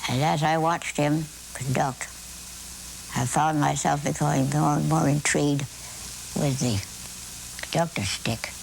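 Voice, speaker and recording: An American woman in her eighties telling reflective stories in an interview, with deliberate, dramatic phrasing, pauses and repetition. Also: sits low, steady tone, gravelly, slight background noise, low-quality recording. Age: 80s. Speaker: amateur conductor being interviewed.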